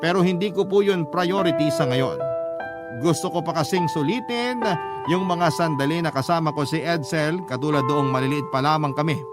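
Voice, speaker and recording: Guy radio host reading a sentimental story, earnest, higher voice, music loud underneath. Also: normal speed. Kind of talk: scripted dramatic letter reading. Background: loud instrumental music.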